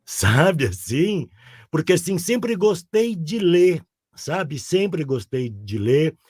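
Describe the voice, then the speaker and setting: Gaucho guy in his 60s, keeps saying sabe, slow talker, dry close sound. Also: fairly high pitch, very animated, a little mellow, slightly rough, dry recording. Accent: Rio Grande do Sul Portuguese. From southern Brazil. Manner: rambling, keeps asking "sabe?". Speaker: guest. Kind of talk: casual interview chat.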